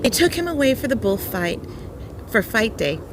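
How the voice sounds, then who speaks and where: A woman with a very lively, swooping delivery reads a children's picture book aloud as a storytime host. She is expressive and breaks off into simple asides as if showing things to little listeners. Some background noise sits behind her voice. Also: a little high, normal speed. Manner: expressive, simple explanations and exclamations, as to young children.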